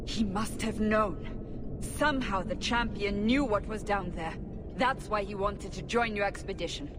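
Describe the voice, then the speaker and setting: A woman playing a stern, zealous interrogator in a video game, accusing and questioning forcefully. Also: mid-range voice, a little slow, background noise, close to an everyday voice. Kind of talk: video game cutscene acting.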